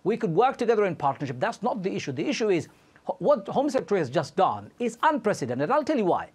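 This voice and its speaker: Impassioned man with a husky voice. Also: high-pitched, quick pace. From Bangladesh. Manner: impassioned, insistent, animated. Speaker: studio guest. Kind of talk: heated TV debate. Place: TV studio.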